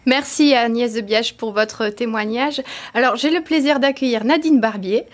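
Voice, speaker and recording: A woman's voice: on the high side, moderate pace. A woman hosting a televised studio discussion, who introduces guests politely and steers the talk with short questions. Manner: polite, guiding, brief questions. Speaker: TV host.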